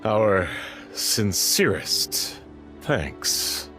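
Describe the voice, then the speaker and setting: A man playing a stiff, guarded gentleman merchant in a video game, speaking formally and curtly. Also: on the low side, big pitch swings, drawn-out words, full character voice. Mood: guarded, strained. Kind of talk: scripted video game character acting. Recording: music clearly behind the voice.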